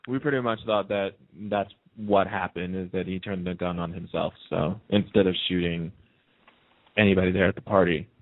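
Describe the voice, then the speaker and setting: A man's voice: sits low, fairly even intonation, moderate pace. A man chatting casually as a co-host on a radio talk show. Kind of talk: casual chat. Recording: narrow phone-like internet radio line.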